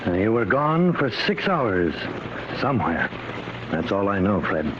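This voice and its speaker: A man's voice: lowish voice, sing-song, a little slow. A man playing a kindly, solicitous fatherly scientist in an old radio drama. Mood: fatherly, explanatory. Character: fatherly male professor, anxious, kindly scientist. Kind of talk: 1940s-50s American radio drama acting. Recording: muffled, noisy vintage radio recording.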